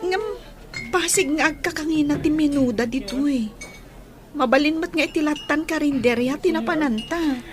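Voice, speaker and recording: A woman's voice: high-pitched, normal speed, lightly acted. A woman playing a modest, hesitant young woman being courted in an audio drama. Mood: hesitant, pleased. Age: young adult.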